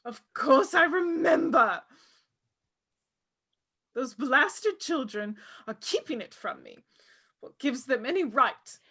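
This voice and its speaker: High, swooping, bossy witch. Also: lively intonation, slow pace, pauses often, sharp tone, husky, heavily acted. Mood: scornful, indignant. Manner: theatrical, haughty, archaic wording. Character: bossy, vain, short-tempered witch, eldest of three sisters. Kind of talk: script table read.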